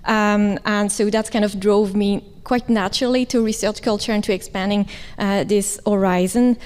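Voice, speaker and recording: A woman's voice: mid-range voice, steady tone, moderate pace, a little mellow, clean tone. Upbeat woman panelist in a reverberant hall. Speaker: university research culture manager. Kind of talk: conference panel talk. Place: large conference hall.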